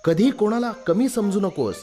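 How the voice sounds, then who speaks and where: A man voicing a wise, moralising animal judge in an animated children's story. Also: sits high, moderate pace, faint music behind. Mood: scolding.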